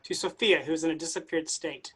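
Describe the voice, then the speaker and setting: A woman's voice: sits low, fairly even intonation, fairly quick, a bit bright, breathy edge. A woman reading stage directions as scripted narration for a play reading.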